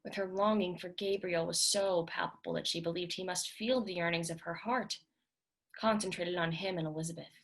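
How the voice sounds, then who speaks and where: A woman's voice: on the low side, steady tone, brisk. A woman giving a dramatic reading of literary fiction as scripted narration.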